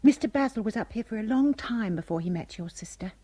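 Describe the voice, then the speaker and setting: An actress playing a husky, sly young housemaid. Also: female voice, mid-range voice, lively intonation, fairly quick, mellow, no room sound, close to an everyday voice. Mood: secretive. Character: young female island housemaid, sly and bitter. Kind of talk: 1970s radio drama acting.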